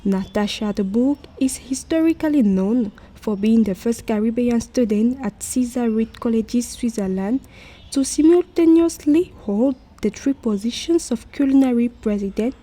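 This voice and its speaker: A woman's voice: on the high side, moderate pace, warm tone. A woman reading a scripted profile as a voice-over, muffled, with music behind her. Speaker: voice-over narrator. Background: faint music.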